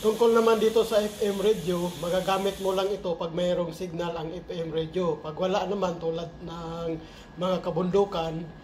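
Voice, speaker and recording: A man giving a casual vlog-style gear review in a halting, explanatory way, repeating key words. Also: high-pitched, flat delivery, darkish tone. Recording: clearly noisy amateur recording.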